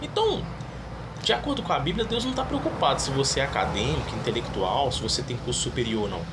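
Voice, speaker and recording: A man's voice: medium pitch, expressive, fast, very noisy. A man giving his opinion in a casual monologue, earnestly reading Bible verses aloud. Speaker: religious video creator.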